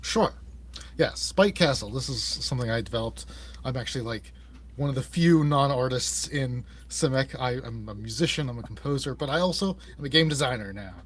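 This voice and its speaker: A man's voice: mid-range voice, animated, a little fast. A man speaking as an interview guest, casually explaining his work as a game designer.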